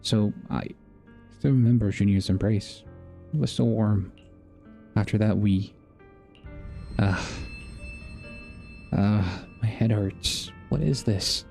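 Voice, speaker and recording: A male gaming streamer with a mellow, fairly low voice reads story dialogue out loud. He moves haltingly between the text and casual asides, with faint game music behind him. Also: creaky. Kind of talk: reading game dialogue aloud with casual reactions.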